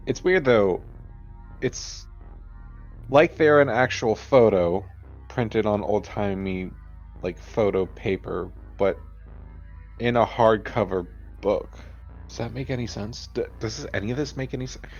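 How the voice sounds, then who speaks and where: A man's voice: lowish voice, a little slow, muffled recording, faint music behind. A man playing a tabletop game, chatting casually in character in a hesitant, bemused way.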